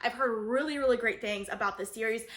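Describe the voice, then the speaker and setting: A woman with a high-pitched voice talks in the casual, chatty way of an online reviewer. She is enthusiastic and gushing, often doubling up her words for emphasis. The delivery is informal and addressed straight to viewers. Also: fast talker, a bit bright. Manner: enthusiastic, gushing, frequent "really" and "like". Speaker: book reviewer and vlogger. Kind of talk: casual chatty book haul talk to viewers.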